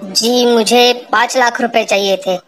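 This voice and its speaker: A woman's voice: on the high side, steady tone, measured pace, natural voice. A woman voicing a worried, humble village father, earnest and pleading, in a cartoon moral story. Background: music.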